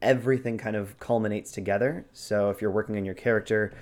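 A man's voice: lowish voice, fairly even intonation, fast talker, darkish tone. A man co-hosting an instructional chat about character voices, explaining things in an informal, conversational way. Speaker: co-host with acting background.

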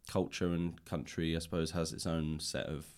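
Low-voiced man asking questions. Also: flat delivery, normal speed, darkish tone, clear, resonant tone. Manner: tentative, rambling phrasing with hedges. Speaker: podcast host, interviewer. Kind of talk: casual, exploratory interview questions. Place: indoors, upper floor of a public library.

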